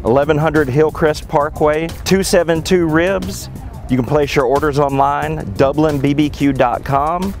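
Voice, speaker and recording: A man's voice: on the high side, steady tone, measured pace. A man giving a friendly, plain-spoken, folksy local promotional spot. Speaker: local restaurant advertiser. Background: music.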